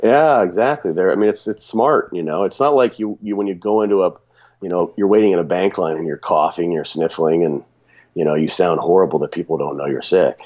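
A man with a cold and a raspy voice, talking casually on a podcast with many restarts and fillers. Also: deep voice, brisk, darkish tone, lo-fi sound. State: sick with a runny nose.

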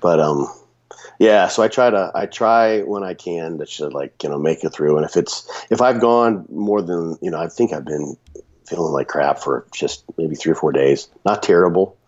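A man with a cold and a raspy voice, talking casually on a podcast with many restarts and fillers. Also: low-pitched, moderate pace, a little mellow, dull audio. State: sick with a runny nose.

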